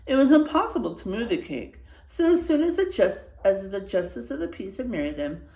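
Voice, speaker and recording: A woman reading a children's story aloud expressively, pausing for asides to her young listeners. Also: medium pitch, animated, warm tone, echoey room, low-quality recording.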